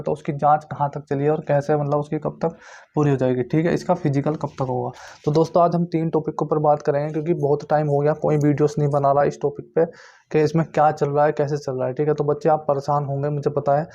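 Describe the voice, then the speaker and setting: Man with flat, higher-pitched voice, muffled recording. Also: fast talker. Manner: repetitive, insistent explaining with frequent check-in tags. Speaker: YouTube host giving exam recruitment updates. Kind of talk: informal explanatory update to viewers.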